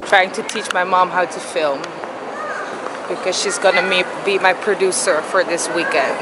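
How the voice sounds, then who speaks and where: A woman's voice: fairly low pitch, fairly even intonation, normal speed, over loud music, low-quality recording. A young woman in her twenties chatting in a casual travel vlog, teasing and laughing often, filmed outdoors on city streets with a camera at arm's length. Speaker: vlogger. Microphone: camera held at arm's length. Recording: loud street noise behind.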